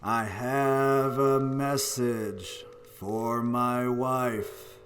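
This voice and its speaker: A man's voice: medium pitch, fairly even intonation, drawn-out words, a bit bright. A mournful spirit of a departed husband, voiced by a man in an old-time radio drama. He intones each word at a crawl, hollow and otherworldly. Music hangs behind him throughout. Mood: eerie solemn. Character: ghost of a dead husband, solemn, otherworldly. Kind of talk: 1940s radio drama acting.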